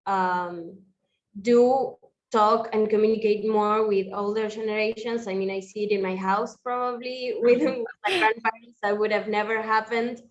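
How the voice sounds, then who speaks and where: A woman's voice: medium pitch, fairly even intonation, a little slow, muffled recording. A young woman student answering a seminar question, thoughtful and hesitant, on a video call. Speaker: student presenter.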